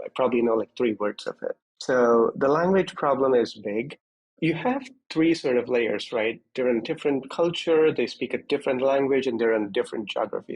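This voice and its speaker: A man's voice: mid-range voice, a little mellow, breathy edge, low-quality recording. A man speaking as an interview guest, explaining technical matters conversationally in structured, step-by-step points. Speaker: interview guest, security executive.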